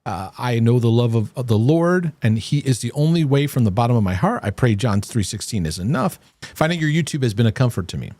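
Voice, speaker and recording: A man's voice: mid-range voice, animated, brisk, darkish tone, no echo. A man hosting a live question-and-answer stream on emotional health, earnest and playful by turns. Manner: earnest, playful with impressions and laughter. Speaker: host answering listener questions.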